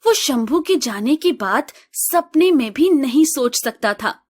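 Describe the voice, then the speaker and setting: Female storybook narrator, slow, even delivery, high-ish voice.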